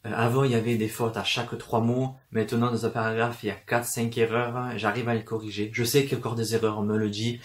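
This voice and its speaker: A man's voice: mid-range voice, level tone, fast talker, few pauses. A young man from the south of France with a southern French accent, talking to camera in a personal vlog, hesitant, with many fillers and restarts and an occasional stutter. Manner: stutters at times. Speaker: book vlogger. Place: room full of books, indoors.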